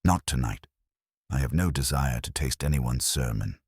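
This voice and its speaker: Man voicing a very deep, pompous genius detective. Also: animated, normal speed, a little mellow, slightly rough, no room sound, clean studio sound, full character voice. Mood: dry, composed. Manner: formal, pompous pronouncements. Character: imperious, pedantic male genius detective, weighty and unhurried.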